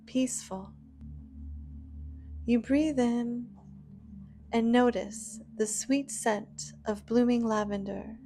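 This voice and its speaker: A woman guiding a scripted imagery meditation in a gentle, soothing, unhurried manner with long pauses. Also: mid-range voice, slight background noise. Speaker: meditation guide.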